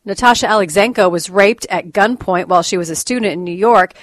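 A woman reading a scripted news report in a crisp, serious manner. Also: mid-range voice, brisk, dry recording. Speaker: news anchor. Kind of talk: scripted radio news read.

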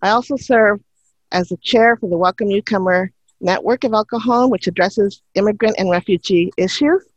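A woman's voice: mid-range voice, normal speed, no echo. An earnest woman gives her opening remarks as a candidate at a forum. Her voice comes through dull and muffled, with a dry sound and no room around it.

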